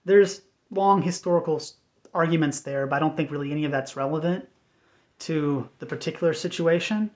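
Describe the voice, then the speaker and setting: An American man giving an unscripted personal update in a measured, earnest, hesitant way. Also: a little high, darkish tone. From United States. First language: English. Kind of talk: unscripted personal talk to camera. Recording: dull, lo-fi sound.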